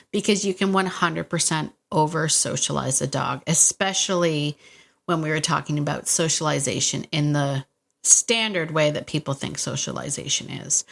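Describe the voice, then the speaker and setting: A woman with a somewhat low voice hosts a solo podcast. She speaks straight to the listener in a casual, chatty way, cutting into her own sentences as she goes. The delivery is informal and encouraging. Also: vocal fry, a bit bright. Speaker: podcast host and dog trainer.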